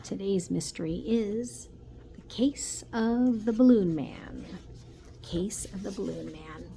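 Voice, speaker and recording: Female teacher, mid-pitched, reading to kids expressively, slightly noisy recording. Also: slow pace, vocal fry, mellow. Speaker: teacher reading aloud to children. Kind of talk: storybook read-aloud. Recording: noticeable background noise.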